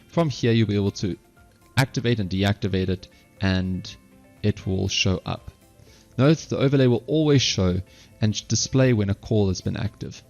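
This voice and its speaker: A man narrating an instructional software screencast step by step, halting at times and correcting himself. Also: fairly low pitch, lo-fi sound, faint music behind. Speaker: software tutorial presenter.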